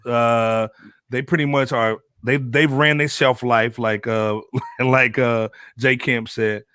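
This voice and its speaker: A man's voice: mid-range voice, steady tone, takes it slowly, fairly bright tone, clean tone, dry recording, clean studio sound. A man with an American accent hosting a casual panel podcast in slangy, colloquial speech. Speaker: podcast host.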